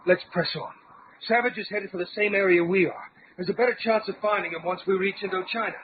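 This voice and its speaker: Man playing a high-voiced, refined radio gentleman. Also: fairly even intonation, close to an everyday voice. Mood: wary, reasoning. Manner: proper, deliberate, formal wording. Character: refined, cautious gentleman adventurer. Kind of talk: old-time radio adventure acting. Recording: tinny narrow-band radio recording with hiss.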